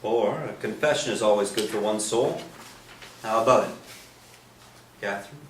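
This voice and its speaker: A man's voice: on the low side, moderate pace, lightly acted. Wheedling, nosy priest played by a man, echoey. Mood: coaxing. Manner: coaxing, insinuating. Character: nosy, wheedling, lecherous priest hungry for confessions. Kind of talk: staged play reading. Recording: roomy, distant stage recording, faint noise.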